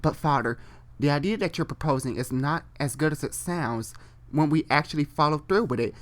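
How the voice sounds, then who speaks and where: A man's voice: fairly high pitch, fairly quick, close to an everyday voice. A man voicing a worried, principled son who pleads and argues earnestly with his father, in a story reading. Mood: worried, arguing. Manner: earnest, argumentative.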